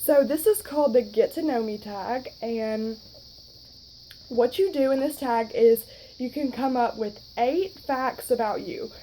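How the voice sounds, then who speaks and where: A woman's voice: a little high, measured pace, mellow, a little hiss or hum, low-quality recording. A woman of twenty with a Southern accent, chatting casually to her phone camera in a rambling way with lots of fillers. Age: 20s. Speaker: vlogger.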